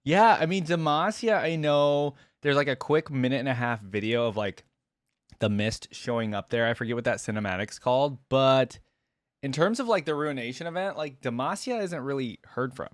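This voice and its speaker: A man's voice: mid-range voice, animated, moderate pace, no echo. Casual male streamer, close dry sound. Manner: informal, appreciative asides. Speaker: streamer reacting to game voice lines. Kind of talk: casual reaction commentary.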